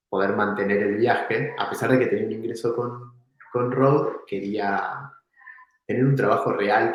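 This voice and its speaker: Man, interview guest, relaxed storytelling, slightly low voice, heavy room echo. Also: dull audio. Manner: relaxed, reflective, laughs now and then. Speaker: entrepreneur guest. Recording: very echoey room.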